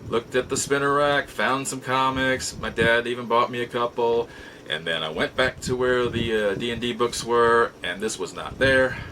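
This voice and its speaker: A man's voice: medium pitch, pushed and bright, a little hiss or hum, low-quality recording. A middle-aged man casually telling personal stories as a show host, rambling in a folksy way and often rephrasing himself. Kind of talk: casual personal storytelling to camera.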